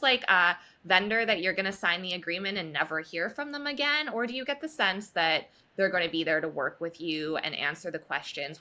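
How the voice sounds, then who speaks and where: Woman interview guest, articulate and upbeat; lively pitch, bright tone. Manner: articulate, upbeat, laughs lightly. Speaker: guest, reliability advocate at a software firm. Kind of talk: conversational interview answers.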